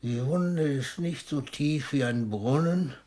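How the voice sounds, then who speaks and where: A man's voice: mid-range voice, slow pace, warm tone, slight background noise, close to an everyday voice. An older actor in a German radio play, playing an ailing, philosophical old poet with a wry, fatherly manner. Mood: resigned, theatrical. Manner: philosophical, wryly theatrical, with whispered asides.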